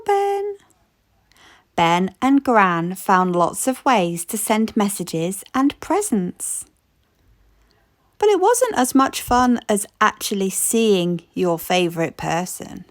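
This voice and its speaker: Slow, animated picture-book reader, woman, dry close mic. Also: mid-range voice, no room sound. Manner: slow, expressive read-aloud with playful rhythm. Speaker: storybook reader. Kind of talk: reading a children's picture book aloud.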